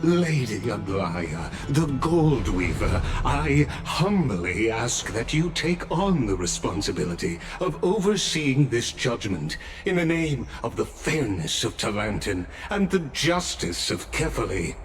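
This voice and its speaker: An actor playing a calm, formal male official who speaks deliberately and solemnly. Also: medium pitch, soft background music.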